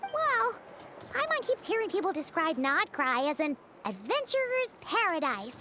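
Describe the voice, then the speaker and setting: A woman voicing a tiny, childlike fairy companion in a video game, squeaky, chirpy, curious and exclamatory. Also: sing-song, moderate pace, background noise. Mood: musing. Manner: refers to self in third person, exclamatory. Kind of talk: video game character dialogue. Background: music.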